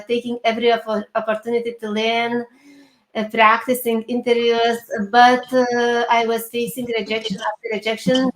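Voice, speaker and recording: A woman with a Lithuanian accent speaks in a fairly high, very even voice as an interview guest. She answers earnestly and haltingly, recorded in an echoey room. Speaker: interview guest, career coach. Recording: echoey room with background noise.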